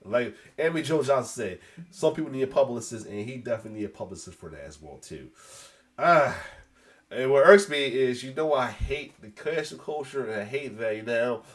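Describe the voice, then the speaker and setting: A man's voice: medium pitch, animated, brisk. A congested man with a cold, giving a casual, rambling news reaction as the host, with restarts and coughs. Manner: rambling, repeats "as well too", many restarts; occasional coughs.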